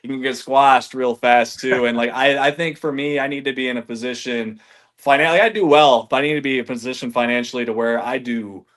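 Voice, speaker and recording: A man in his thirties from Michigan, a golf reviewer chatting as a podcast guest, energetic and loose, swearing casually and saying "man" and "like" a lot. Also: medium pitch, brisk. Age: 30s. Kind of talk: casual chat.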